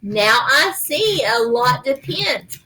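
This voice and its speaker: A woman's voice: high-pitched, sing-song, takes it slowly, sharp tone, a little hiss or hum, full character voice. A woman voicing a big, self-important male dump truck from a storybook, speaking emphatically. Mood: grateful.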